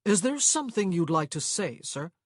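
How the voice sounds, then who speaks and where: A man's voice: high-pitched, sing-song, moderate pace, no echo, studio quality. A man voicing a guarded, protective, plain-spoken young man in an audiobook, firm and direct in manner. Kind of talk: audiobook character dialogue.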